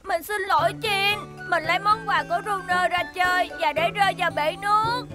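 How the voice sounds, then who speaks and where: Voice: extremely high pitch, fairly quick, over loud music. An actor voicing a small, childlike, anxious rescue helicopter robot in a dubbed children's cartoon. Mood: sorry.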